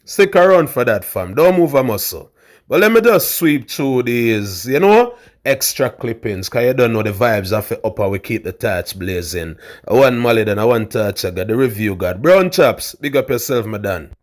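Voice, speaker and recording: A man with a big voice, hosting a casual commentary vlog in a loud, hyped way. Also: mid-range voice, big pitch swings, normal speed. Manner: loud, hype, calls viewers fam. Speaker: host.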